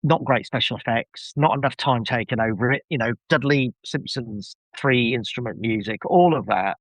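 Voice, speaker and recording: A man speaking as a podcast guest in casual discussion, halting and repeating words as he builds his point. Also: medium pitch, no echo, low-quality recording.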